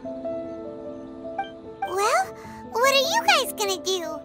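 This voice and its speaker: Very high, cutesy little fairy girl. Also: extremely slow, heavily acted. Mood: concerned. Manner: cutesy, childlike, expressive. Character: tiny childlike floating fairy companion girl, perky and curious. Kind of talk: anime fantasy game voice acting. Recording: game music behind.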